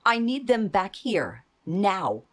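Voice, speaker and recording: A woman playing a stern, commanding military leader in an anime audio drama, with a husky voice. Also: medium pitch, sing-song, slow pace. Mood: stern, impatient.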